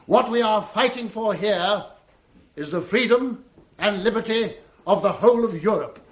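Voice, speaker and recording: An actor playing a stern British war veteran, giving an emphatic, deliberate patriotic speech in a radio drama. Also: male voice, high voice.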